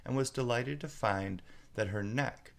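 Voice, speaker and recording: A man's voice: medium pitch, a little slow, vocal fry. A man narrating a storybook aloud as a storyteller.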